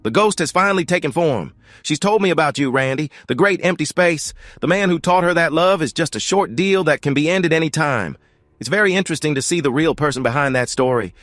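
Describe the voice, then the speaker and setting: A cold, amused cult leader speaks calmly and never raises his voice, voiced by a male narrator. The tone is smooth and condescending, with a lively pitch. It is recorded dry and close. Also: fairly quick, no echo.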